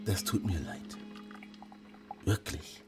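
A man's voice: slow, natural voice. Actor as wary young man, music underneath. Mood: apologetic. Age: young adult. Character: young Ghanaian man living illegally in Berlin, wary. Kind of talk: naturalistic radio drama dialogue and inner monologue.

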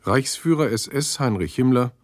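A man reading scripted documentary narration in a sober, factual, measured manner. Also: medium pitch, expressive. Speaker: narrator of a radio documentary play.

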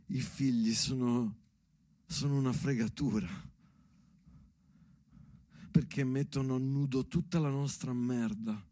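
A man's voice: mid-range voice, mellow. A man performing an earnest, emphatic theatrical monologue as a stage narrator, as if speaking to his child.

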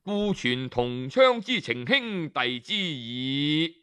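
A man voicing a deep, sighing old sage. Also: very animated, fairly bright tone, smooth, full character voice. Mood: grave, sorrowful. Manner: heavy sighing, slow grave counsel. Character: old male hermit sage, sorrowful and wise.

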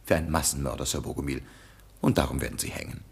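A deep-voiced, defiant detective. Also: male voice, steady tone, quick pace, creaky, breathy edge, heavily acted. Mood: accusing. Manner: accusatory, dry, defiant. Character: sharp-witted male detective, confident. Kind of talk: radio drama acting.

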